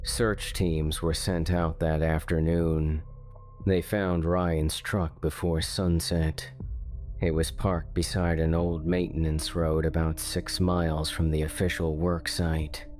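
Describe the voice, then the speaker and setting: Deep, slow, flat-voiced male narrator. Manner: slow, measured, ominous delivery. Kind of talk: scripted horror narration. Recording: faint music underneath.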